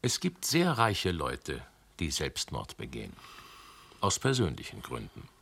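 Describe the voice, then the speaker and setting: An actor playing a senior police official who slowly and probingly questions a witness in a radio drama. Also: male voice, extremely low pitch, huge pitch swings, vocal fry, a bit bright, slight background noise, full character voice. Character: gruff low-voiced male police official.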